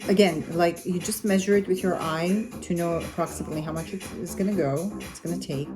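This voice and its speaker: Chatty Armenian-accented woman, low voice. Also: fairly even intonation, a little fast. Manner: chatty, rambling, self-correcting with fillers. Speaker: cooking vlogger. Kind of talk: casual cooking vlog. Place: home kitchen. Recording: loud background music.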